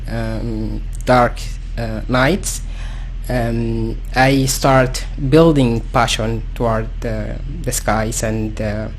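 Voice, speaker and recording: A man with a Sudanese accent speaks slowly in a medium-pitched voice, searching for words as he goes. He sounds like a guest expert explaining things without a script, hesitant and halting, over some light background noise. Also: rough recording. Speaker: guest astrophysicist. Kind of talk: unscripted self-introduction, explanatory talk.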